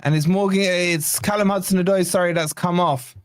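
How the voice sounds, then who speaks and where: Hoarse male fan host, high-pitched, excitable partisan live commentary, dry close mic. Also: a little fast, dry recording. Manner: excitable, swearing, partisan play-by-play. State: hoarse, losing his voice. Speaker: fan channel watch-along host.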